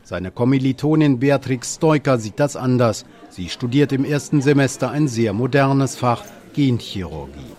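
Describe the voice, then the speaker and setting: A man reading a scripted news report as a documentary voice-over, in an even, measured way. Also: mid-range voice.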